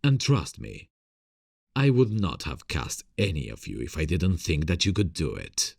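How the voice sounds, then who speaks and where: A man playing a gentle, encouraging theater director who is secretly smitten, in a breathy, gravelly scripted ASMR audio roleplay. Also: very deep voice, very animated, unhurried, no room sound, studio quality, heavily acted. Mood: proud, encouraging. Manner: gentle, encouraging, soft chuckles. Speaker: voice actor.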